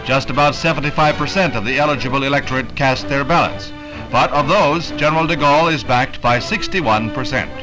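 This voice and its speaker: A man narrating a 1960s newsreel in a brisk, emphatic announcer delivery. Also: medium pitch, low-quality recording. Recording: old newsreel soundtrack with loud music. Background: loud music score.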